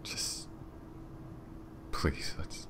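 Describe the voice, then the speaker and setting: A man playing a brooding, shaken detective in an audio roleplay, muttering haltingly. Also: in a whisper. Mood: shaken, weary. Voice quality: very deep, gravelly, breathy murmur. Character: brooding, shaken male detective haunted by loss.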